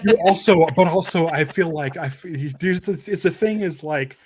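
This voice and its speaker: A man's voice: a little high, moderate pace, slightly rough, dry recording. A man hosting a casual podcast, talking in a rambling way and often repeating and restarting his phrases. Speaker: podcast host and documentary maker.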